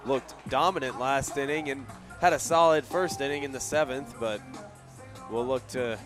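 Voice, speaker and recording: A man's voice: medium pitch, normal speed, over music. A man giving understated, conversational color commentary during a live baseball broadcast. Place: ballpark broadcast position.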